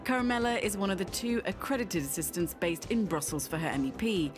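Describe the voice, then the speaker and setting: Woman voice-over narrator, mid-pitched, brisk delivery with music behind. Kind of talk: scripted documentary narration. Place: voice-over studio. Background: background music.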